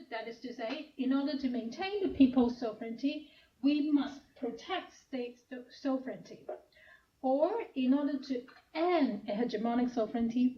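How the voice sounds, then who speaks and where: Hong Kong-accented woman academic, soft and hesitant, higher voice, dull lecture-room recording. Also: a little hiss or hum. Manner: soft-spoken, hesitant with fillers. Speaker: academic speaker.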